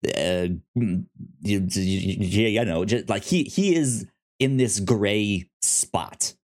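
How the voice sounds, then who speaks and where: A man co-hosting in a casual chat, speaking haltingly with stammered, repeated word starts. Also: lowish voice, expressive, darkish tone. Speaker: podcast co-host discussing films.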